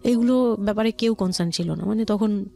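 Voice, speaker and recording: A woman with a Dhaka Bangladeshi accent and a medium-pitched voice recounts personal experience in conversation. She explains haltingly and often rephrases herself, with music playing behind her. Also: creaky, mellow. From Old Dhaka, Bangladesh. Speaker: guest telling her life story.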